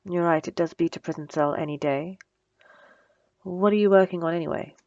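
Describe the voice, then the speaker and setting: A woman playing a bossy, sarcastic supervillain with a soft spot, in a teasing, dry, conversational ASMR roleplay. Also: on the low side, fairly quick, no echo, muffled recording, close to an everyday voice. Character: female supervillain, bossy and sarcastic, secretly flustered by compliments. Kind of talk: ASMR roleplay audio drama.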